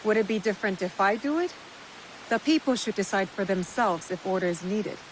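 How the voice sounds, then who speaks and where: A woman's voice: mid-range voice, animated, brisk, slight background noise, close to an everyday voice, low-quality recording. A woman playing a composed, earnest female mercenary in a feudal Japan game, reserved and plain-spoken. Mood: earnest, questioning.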